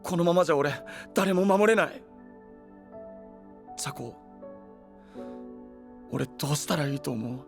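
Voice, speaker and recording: An actor playing an earnest, self-doubting young knight in an anime voice drama, with hesitant, boyish, casual speech. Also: male voice, on the high side, lively intonation, unhurried, gravelly, long pauses, faint music behind. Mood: downcast. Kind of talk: Japanese anime voice drama acting.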